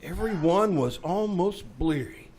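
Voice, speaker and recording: A man with an American accent playing a brash, hammy would-be detective in a live old-time radio comedy, pitching himself with pushy, theatrical patter. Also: lively intonation, a little slow, darkish tone. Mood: eager. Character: brash, fast-talking, hammy male would-be detective sidekick. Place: small theater stage, live performance.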